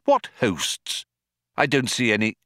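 A man's voice: mid-range voice, wildly swooping pitch, slow pace, a bit bright, no echo, studio quality, full character voice. A man voicing a startled, bewildered ordinary man in a storybook, flustered and questioning. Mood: alarmed.

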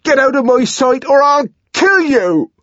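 A man voicing a savage, raging young man in an audiobook, declaiming archaic words theatrically and forcefully. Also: high-pitched, lively intonation, slow, dry recording, lo-fi sound, voice pushed up, full character voice. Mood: furious.

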